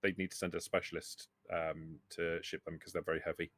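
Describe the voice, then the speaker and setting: A man's voice: deep voice, level tone, brisk, fairly bright tone, no echo. A man talking casually during a tabletop roleplaying game.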